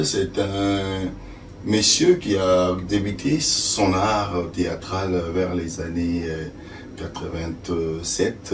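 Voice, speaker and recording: An older man from Congo, an actor and stage director answering interview questions slowly with many fillers. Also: deep voice. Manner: slow, hesitant, many fillers. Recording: echoey room, distant mic, faint noise.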